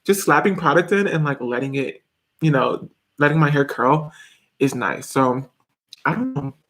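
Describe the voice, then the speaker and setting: A man's voice: fairly high pitch, lively intonation, normal speed, a bit bright. A man in his twenties chatting casually on a live stream from his bedroom, reading comments, laughing often and restarting his sentences. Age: 20s. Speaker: YouTuber reading live chat. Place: small bedroom, indoors.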